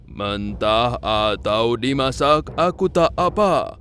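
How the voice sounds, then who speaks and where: A man voicing a menacing, child-eating giant in a children's cartoon story, chanting slowly and boomingly. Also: lively intonation, fairly bright tone, slight background noise. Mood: hungry, menacing. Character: menacing hungry male giant who eats children.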